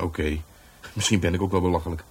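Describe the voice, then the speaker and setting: An actor playing an easygoing, patient police sergeant in a radio drama, putting questions in an informal way. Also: male voice, deep voice, fairly even intonation, brisk, darkish tone, slightly rough. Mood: puzzled, amiable. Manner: informal, probing.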